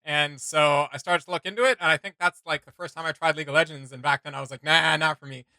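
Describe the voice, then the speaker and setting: A man hosts a reaction video in a loose, conversational chat. His voice sits a little high and has a crisp, forward edge. The sound is dry, with hardly any room in it. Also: fairly even intonation, fast, bright, forceful tone, no room sound.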